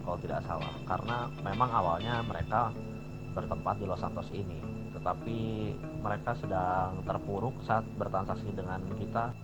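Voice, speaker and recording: An Indonesian man improvising a formal, polite negotiation in an online crime roleplay, speaking in a measured way. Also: low voice, fairly even intonation, loud music behind the voice, low-quality recording.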